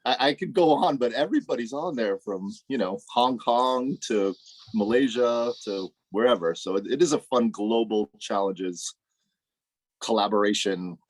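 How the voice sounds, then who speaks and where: A man in a casual group discussion, telling animated, jokey stories and laughing often. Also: medium pitch, normal speed, no room sound, rough recording.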